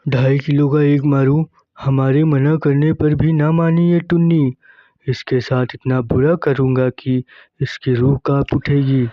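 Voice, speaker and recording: A man's voice: mid-range voice, level tone, a little slow, no room sound, dull audio, close to an everyday voice. A man voicing a bullying, vengeful moneylender boss in a cartoon, blustering and threatening.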